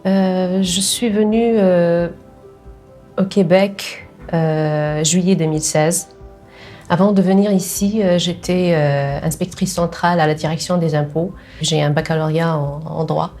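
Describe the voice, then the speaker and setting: A middle-aged woman with an Algerian accent giving personal testimony in a hesitant way, with frequent pauses. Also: on the low side, steady tone, mellow, faint music behind. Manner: hesitant, pausing often. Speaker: documentary interviewee, sales assistant.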